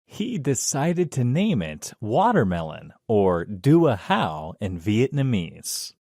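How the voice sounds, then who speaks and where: A man narrates a children's storybook at a slow, measured pace. His pitch swings widely as he tells the tale, and the recording is dry with no room around it. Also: sing-song, gravelly, mellow, dry recording.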